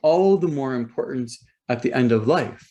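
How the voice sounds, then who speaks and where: A man acting as rabbi and host, introducing a speaker over a video call in a halting way, with many ums and repeated words. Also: mid-range voice, animated, warm tone. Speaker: rabbi hosting a talk. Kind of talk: hesitant spoken introduction.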